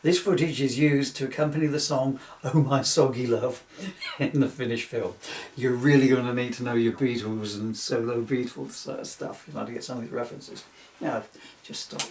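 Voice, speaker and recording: Man, mid-pitched voice, informal comic book reading, rambling asides, giggly delivery, roomy distant recording. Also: fairly even intonation, moderate pace, darkish tone, slight background noise. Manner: rambling, often breaking into giggles at own jokes. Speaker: author reading his parody book. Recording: roomy echo, distant microphone.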